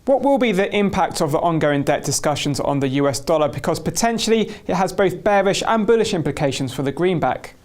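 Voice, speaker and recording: A man with a notably high-pitched voice presents a broadcast interview. He speaks in the scripted manner of a TV host, setting up questions and links for a guest. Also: animated, a little fast, hardly any pauses. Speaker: TV host interviewing a market analyst.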